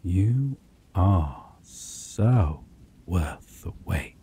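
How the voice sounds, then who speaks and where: A man voicing a possessive, predatory male vampire in an ASMR roleplay, teasing and intimate, with low, purring chuckles and sudden whispers. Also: lively intonation, a little hiss or hum, heavily acted. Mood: teasing, menacing. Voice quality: low, slow, purring delivery with soft chuckles.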